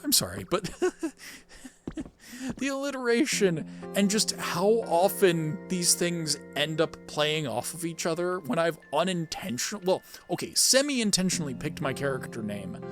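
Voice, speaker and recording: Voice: medium pitch, animated, normal speed, over music. A game streamer with a playful manner, giving casual let's play commentary.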